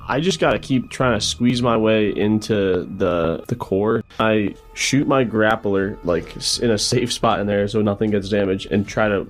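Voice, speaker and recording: Male game player, lowish pitch, casual delivery, soft music underneath. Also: normal speed, hardly any pauses. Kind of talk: casual tabletop play.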